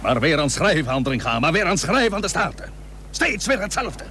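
Radio play casting: a plain-spoken, reluctant naval hero, weighty archaic speech, lively pitch movement, faintly humming old recording. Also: male voice, fairly quick, slight background noise. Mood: weary. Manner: blunt, formal archaic diction, weighty. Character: De Ruiter, plain-spoken, reluctant but dutiful 17th-century sea captain. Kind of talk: Dutch historical radio play acting.

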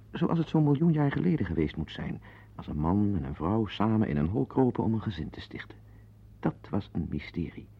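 Low, creaky henpecked husband. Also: male voice, heavily acted. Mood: wry, resigned. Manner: hesitant, apologetic, wry. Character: nervous, reclusive, henpecked husband, grumbling to listeners. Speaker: radio play narrator and lead. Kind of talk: radio drama monologue and dialogue. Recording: dull lo-fi radio play, music behind.

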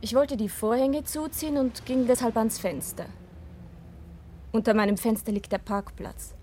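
High-voiced, coolly probing female witness played by an actress. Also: normal speed, mellow, a little hiss or hum, natural voice. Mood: quietly accusing. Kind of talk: 1970s German radio crime drama acting.

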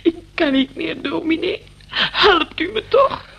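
A woman's voice: extremely high pitch, lively intonation, a little slow, gravelly, muffled recording, exaggerated voice. An actress in a radio play playing an anxious, plaintive woman who pleads fearfully for her partner, on a noisy old recording. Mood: pleading, afraid. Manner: plaintive, imploring.

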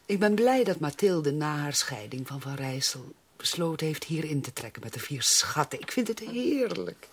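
A woman's voice: deep voice, sing-song, normal speed, slightly rough, full character voice. An actress playing a cheerful older mother and grandmother in a period radio drama, genteel, fond and effusive. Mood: fond, delighted. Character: cheerful elderly mother and grandmother, doting on youngsters.

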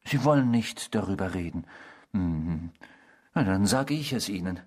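An actor in a German radio drama playing a mysterious, smug, needling man who claims to be a murderer, with a husky voice. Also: sits low, lively intonation, a little slow. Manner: needling, sardonic, self-assured.

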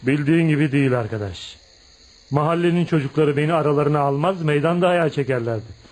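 A brooding tough guy outcast in a radio play, highish voice, bitter n proud, dramatic storyteller. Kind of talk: radio theatre acting.

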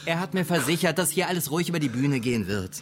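A man's voice: on the high side, expressive, fast talker, slight background noise, lightly acted. An actor playing a stern, self-righteous clergyman, insistent and lecturing, in a radio crime drama. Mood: indignant, lecturing. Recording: faint music behind the voice.

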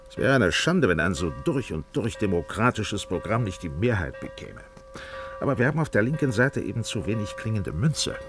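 An actor playing an opinionated, freethinking small-town doctor who speaks in pronouncements, in a radio play. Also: male voice, lowish voice, very animated, normal speed. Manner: declarative, opinionated. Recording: studio radio play with faint music.

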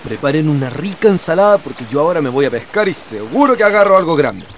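A man's voice: on the high side, sing-song, quick pace, slight background noise. A man playing a good-natured, middle-aged father with a Chilean accent who explains things to his family.

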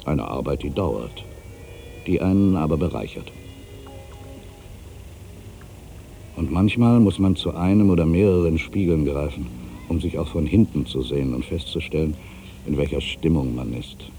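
Male actor as ageing male writer, very dark gravelly tone, pensive pauses, solitary, wistful, dramatic monologue. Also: extremely low pitch, slight background noise. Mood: brooding, resigned. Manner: brooding, deliberate, with pensive pauses. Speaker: monologue performer. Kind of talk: literary dramatic monologue, reflective first-person narration.

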